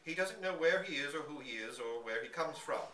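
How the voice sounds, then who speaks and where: A man voicing a flustered, indignant country gentleman with a frantic, blustering manner, as in a storybook reading. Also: fairly high pitch, lively intonation, fairly quick. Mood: desperate. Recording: echoey room from a distance, noisy.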